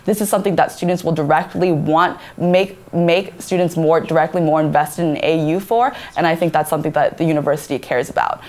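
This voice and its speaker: A woman's voice: low voice, a little mellow. A young woman whose first language is Chinese, answering earnestly as a candidate in a student government debate. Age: young adult. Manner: earnest, many restarts and "I think".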